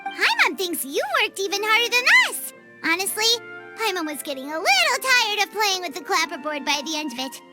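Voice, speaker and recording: A woman voicing a small, excitable, childlike fairy companion in a video game, squeaky and exclamatory. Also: brisk, over loud music. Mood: playful grumbling. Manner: refers to self in third person, exclamatory. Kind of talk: video game character acting.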